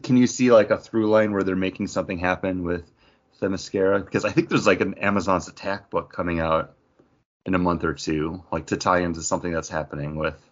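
Lowish-voiced man chatting casually, dull audio. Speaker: podcast co-host leading discussion. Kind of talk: casual chat about comics.

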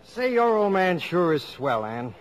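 A man playing a smitten, earnest American high-school boy with slangy talk in a 1950s radio comedy. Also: very animated, measured pace, low-quality recording. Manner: boyish, slangy, sincere. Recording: old radio broadcast.

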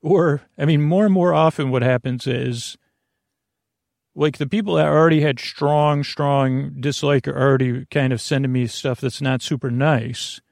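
A man with a creaky, gravelly, mid-pitched voice hosts a bedtime podcast in a close, dry recording. He wanders through his sentences, doubling back and trailing off, in a soothing and reassuring manner. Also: steady tone, darkish tone, no room sound. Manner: meandering, halting, repeats and trails off mid-thought. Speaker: podcast host. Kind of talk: rambling bedtime sleep podcast intro.

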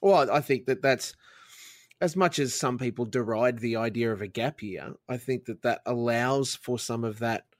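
Male interviewer, measured and thoughtful, mid pitch, dry close sound. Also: no echo. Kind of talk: conversational interview.

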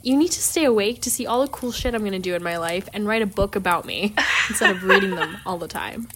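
A woman's voice: medium pitch, big pitch swings, fast, creaky, a bit bright, slight background noise. A woman playing a brash young werewolf in an audio drama, blunt, swaggering and sarcastic. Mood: cocky.

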